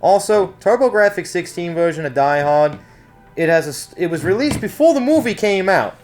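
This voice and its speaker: A man with a slightly high voice talks in an informal, rambling style, like a reviewer chatting off the cuff. He leans on casual filler phrases, and music plays softly behind him. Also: lively intonation, moderate pace. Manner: rambling, informal, frequent "like, you know" asides. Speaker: video game reviewer and co-host.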